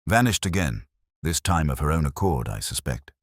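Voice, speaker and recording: A man acts out a brooding Victorian gentleman detective in an audiobook. The voice sits extremely low, with expressive swings in pitch. His phrasing is formal, terse and deliberate. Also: breathy edge, no room sound, studio quality, exaggerated voice. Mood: wry, reflective.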